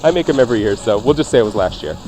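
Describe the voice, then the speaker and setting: A man's voice: mid-range voice, animated, racing, a little mellow, low-quality recording. A man hosting a radio cooking show, talking through recipes in a casual, conversational way with jokey asides. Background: faint music.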